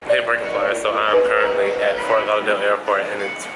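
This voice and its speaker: A man's voice: lowish voice, little pitch movement, fairly quick, loud music behind the voice, poor audio. A young man, a flight attendant, chatting casually and joking at his own expense in a travel vlog. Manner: chatty, self-deprecating, joking. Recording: loud background noise from travel ambience.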